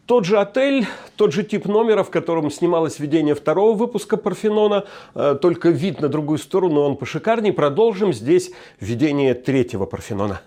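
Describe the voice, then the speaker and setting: Wry, mellow-voiced male host. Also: on the high side. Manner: wry, ironic, reflective. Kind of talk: scripted monologue commentary. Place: hotel room.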